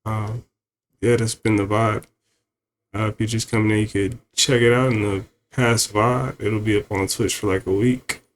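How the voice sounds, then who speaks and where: A man's voice: on the low side, steady tone, moderate pace. A man streaming, talking to viewers in a laid-back, rambling way with many fillers. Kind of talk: casual stream chat.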